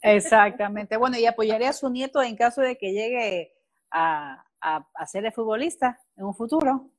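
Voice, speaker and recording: A woman's voice: on the high side, moderate pace. A woman co-hosting an interview, chiming in with agreement and follow-up questions. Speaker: co-host. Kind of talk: casual interview chat.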